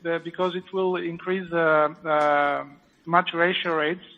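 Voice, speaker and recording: A man with a French accent, a surgeon explaining a live procedure as he works, halting with fillers. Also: a little high, level tone. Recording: tinny phone-like line.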